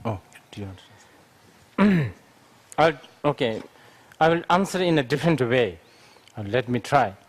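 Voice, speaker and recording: A man's voice: medium pitch, expressive, normal speed, pauses often. A man, a Buddhist teacher, answers audience questions in a lecture, thinking aloud with frequent fillers.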